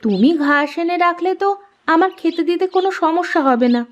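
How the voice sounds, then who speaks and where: An actress playing a practical, cautious village housewife in a cartoon folktale. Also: female voice, extremely high pitch, lively intonation, brisk, highs cut off. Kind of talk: Bengali cartoon folktale dialogue.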